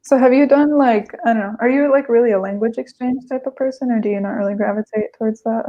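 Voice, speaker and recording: A woman's voice: on the high side, quick pace, lo-fi sound. A young American woman on a video call, chatting casually with lots of fillers and restarts. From United States. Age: young adult. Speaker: guest, language learner. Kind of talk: casual conversation.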